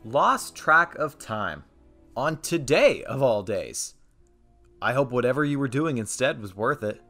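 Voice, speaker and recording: A man's voice: medium pitch, very animated, unhurried, bright, forceful tone, soft background music, full character voice. A man playing a chiding, sarcastic older merman prince in an audio drama.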